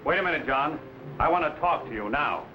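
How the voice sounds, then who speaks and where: Male actor as pushy, sly, menacing newsman of the frontier. Very animated intonation, dull old broadcast sound. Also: a little high, brisk, slight background noise, close to an everyday voice. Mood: slyly casual. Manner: insinuating, menacing. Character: pushy, prying, threatening frontier newspaperman. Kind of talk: 1950s adventure drama acting.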